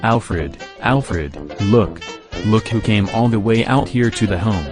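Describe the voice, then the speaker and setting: Kindly old Santa played by a man, deep-voiced, earnest and gently excited, radio drama with music underneath. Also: takes it slowly. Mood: surprised, cheerful. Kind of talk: radio play acting.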